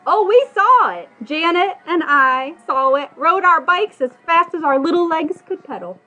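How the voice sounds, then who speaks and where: A woman with an American accent answers as a casual podcast guest. Her voice is high and swings up and down a lot, on slightly dull audio with music behind. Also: animated, normal speed, sharp tone. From Ohio, United States.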